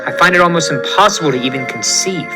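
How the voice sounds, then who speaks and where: A man voicing a calm scientist team leader who explains things precisely. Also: a little high, fast talker, lightly acted. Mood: explaining. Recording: music playing underneath.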